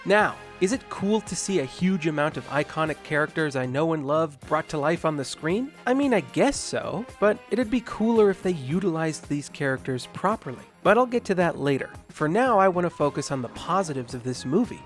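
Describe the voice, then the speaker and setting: A man's voice: a little high, expressive, brisk, few pauses, faint music behind. A man hosting a video game channel, narrating a comedic scripted movie review with sarcastic, self-deprecating jokes.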